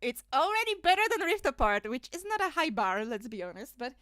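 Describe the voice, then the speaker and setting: A woman's voice: high voice, big pitch swings, brisk, fairly bright tone, dry recording, studio quality. A woman from Poland, rambling and laughing through casual livestream commentary, full of fillers. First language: Polish. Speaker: streamer.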